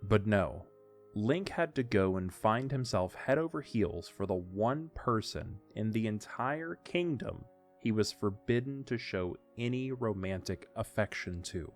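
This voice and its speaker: A man's voice: on the low side, animated, a little slow. A man reading scripted story narration as the narrator of an audio drama. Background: faint music.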